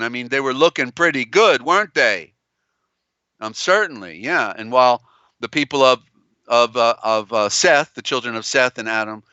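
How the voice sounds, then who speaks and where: Male stream host, bright clear tone gone strained and tired, halting and rambling, dry slightly dull audio. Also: medium pitch, no echo. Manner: halting, meandering delivery with many restarts. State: strained, tiring voice. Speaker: live show host. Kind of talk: live-stream lecture on old scriptures.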